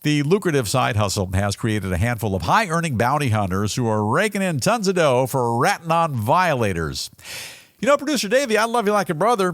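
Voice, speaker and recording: Animated male American news host. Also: on the high side, no echo, clean studio sound. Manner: folksy, playful, theatrical delivery. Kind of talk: jokey news roundup commentary.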